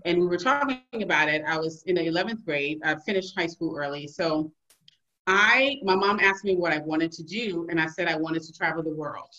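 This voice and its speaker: A woman's voice: lowish voice, moderate pace, highs cut off. A woman from Liberia telling stories casually and animatedly as a guest in an interview. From Liberia, West Africa. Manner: animated, laughs often while telling stories. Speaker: podcast guest, emergency physician.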